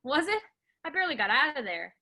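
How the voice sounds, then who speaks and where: A woman acting a bold, excitable young amateur matador in a play reading, animated, breezy and chatty. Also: sits high, fast talker, exaggerated voice. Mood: excited, breezy. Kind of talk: staged play reading, conversational.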